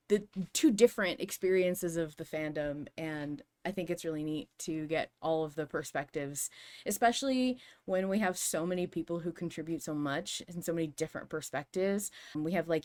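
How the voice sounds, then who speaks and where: A woman hosting a fan podcast, chatting casually in an animated, opinionated way with some swearing. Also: sits low. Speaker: podcast host.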